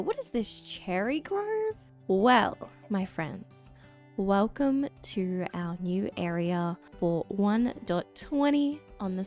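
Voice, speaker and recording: A woman recovering from a lost voice, slightly croaky, chatting excitedly to viewers while playing a game. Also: mid-range voice. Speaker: Minecraft let's-play creator. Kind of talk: casual gameplay commentary.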